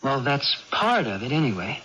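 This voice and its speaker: An actor playing an earnest, patient young man, gentle and hesitant, in a 1980s radio drama. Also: medium pitch, big pitch swings, normal speed. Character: earnest, patient young brother of a troubled woman. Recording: dull, noisy old radio broadcast.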